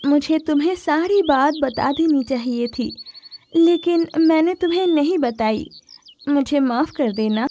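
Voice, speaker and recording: A woman voicing a caring father bird in a cartoon story, gentle and earnest. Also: high voice, a little slow, slight background noise. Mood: apologetic.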